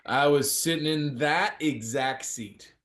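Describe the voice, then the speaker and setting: A man's voice: on the high side, slow, fairly bright tone. A man playing a friendly, laid-back young dude at a table read, talking in a casual, slangy way.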